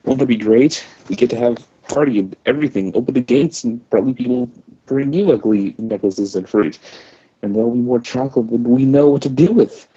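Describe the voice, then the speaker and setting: A man's voice: medium pitch, fairly quick, warm tone, slightly rough, pitched above the performer's own voice, lightly acted. A man voicing a lonely young princess in a story reading, rambling and correcting herself. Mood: forced cheer. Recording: dull lo-fi microphone.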